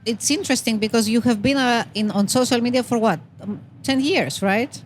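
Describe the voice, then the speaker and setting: A woman's voice: on the high side, brisk, a little hiss or hum. A woman co-hosting a podcast, asking curious, probing questions in a casual interview. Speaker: podcast co-host.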